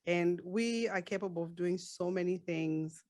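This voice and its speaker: A woman hosting a casual interview who tells her own stories with lively asides. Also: on the low side, measured pace, dry recording, clean studio sound.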